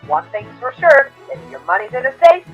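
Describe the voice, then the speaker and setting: Woman as TV news anchor, cartoon parody, dramatic delivery, lively pitch, light music bed. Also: normal speed, highs cut off. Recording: faint music behind.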